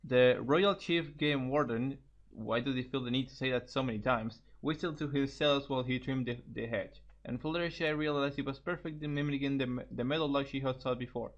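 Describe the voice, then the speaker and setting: Guy reading fanfic out loud, trips over words and repeats himself, kinda dull cheap mic. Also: mid-range voice, a little fast, hardly any pauses. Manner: stumbling reader, repeats phrases. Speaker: co-host reading fan fiction aloud. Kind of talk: informal story read-aloud.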